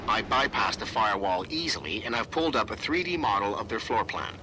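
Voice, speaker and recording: An actor playing a brisk, self-assured male tech expert briefing over comms in a video game, crisp in manner. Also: fairly low pitch, expressive, noisy background, lightly acted. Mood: brisk, smug.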